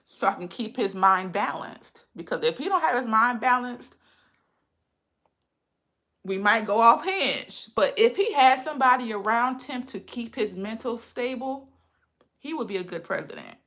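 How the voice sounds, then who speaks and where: A chatty woman talks straight to her livestream viewers. Her fairly high voice carries a gravelly fry and a slight lisp. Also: bright, forceful tone. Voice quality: says she has a lisp. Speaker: livestream host. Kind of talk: casual livestream chat with viewers.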